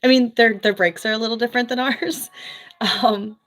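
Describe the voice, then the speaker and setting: A woman's voice: fairly high pitch, steady tone. A woman from New Jersey with a Philadelphia accent, chatting frankly with viewers as a former nurse. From US East Coast, New Jersey. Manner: chatty, frank, quick asides with laughs. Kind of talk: casual livestream chat reading viewer comments.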